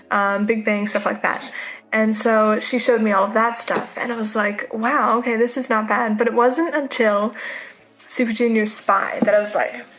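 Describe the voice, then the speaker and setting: A woman's voice: a little high, a bit bright, low-quality recording. A 21-year-old woman with an American accent, telling personal stories in a casual, chatty way. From United States. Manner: chatty, peppers talk with quoted asides. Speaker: interviewee, K-pop fan.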